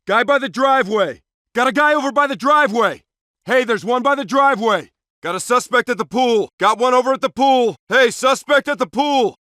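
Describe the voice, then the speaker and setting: A man playing a brisk police SWAT officer in a shooter video game, calling out in short, clipped phrases. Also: extremely high pitch, sharp tone, no room sound, clean studio sound. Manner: clipped, repeated short callouts. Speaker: game voice actor. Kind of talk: video game combat barks.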